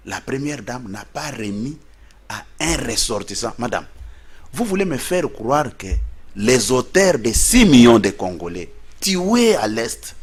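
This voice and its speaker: A man's voice: medium pitch, slightly rough. A man as a studio guest in a heated debate, insistent and repeating words to hold the floor.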